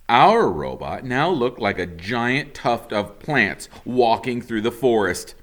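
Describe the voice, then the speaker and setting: A man with a low voice narrates a children's story aloud. His intonation swings widely and he takes his time, delivering phrases with deliberate, theatrical emphasis. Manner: deliberate, dramatic, with emphatic swells and hushed drops. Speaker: teacher reading a children's novel aloud.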